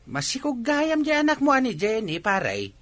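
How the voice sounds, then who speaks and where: Man acting bright, swooping, nosy village buddy. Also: very high voice, huge pitch swings, normal speed, no room sound, faint music behind, heavily acted. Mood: nosy, teasing. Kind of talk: radio comedy-drama acting.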